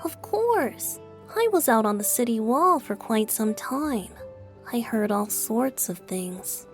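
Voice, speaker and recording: A woman's voice: fairly high pitch, lively intonation, takes it slowly, soft background music. A woman voicing a playful, teasing young male bard in a video game dub, light and mischievous. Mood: thoughtful. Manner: light, breezy, mischievous, sometimes whispering.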